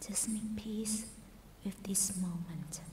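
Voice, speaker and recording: Woman, meditation teacher, unhurried pace, mellow soft breathy tone, soothing, long hall reverb. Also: medium pitch. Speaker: meditation teacher, Buddhist nun. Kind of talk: guided meditation. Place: large meditation hall at a Buddhist centre. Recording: roomy hall reverb, faint background noise.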